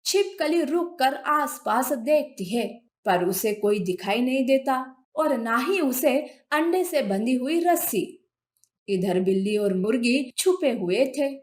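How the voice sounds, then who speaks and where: A woman's voice: high voice, animated, slow. A woman storyteller reading scripted narration for children's animal fables.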